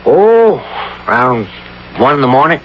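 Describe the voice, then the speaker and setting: An American actor playing a rough, wary small-town bar owner in a vintage radio drama, with slangy, folksy, slightly hesitant delivery. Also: male voice, medium pitch, sing-song, measured pace. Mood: accusing, matter-of-fact. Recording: dull lo-fi old radio broadcast, faint noise.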